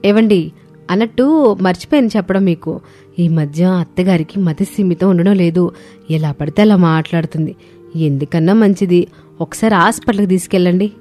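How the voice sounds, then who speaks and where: A woman's voice: on the low side, normal speed, dark tone, close to an everyday voice. Woman acting a naive young daughter-in-law, music behind. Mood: earnest. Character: silly, eager, scheming young daughters-in-law in a family tale. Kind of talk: cartoon moral-story dialogue. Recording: music under the voice.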